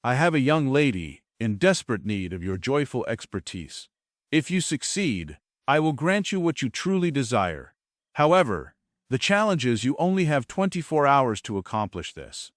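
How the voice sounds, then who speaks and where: A man's voice: mid-range voice, sing-song, normal speed, no echo, studio quality, natural voice. A man playing a benevolent but stern God in a stage table read, solemn and theatrical. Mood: solemn warning.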